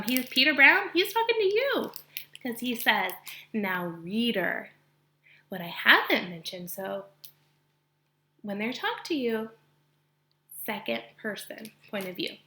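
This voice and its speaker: Bright, gravelly woman reading aloud. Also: medium pitch. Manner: dramatic storytelling, stops to explain narrative point of view. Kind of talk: expressive read-aloud with explanations.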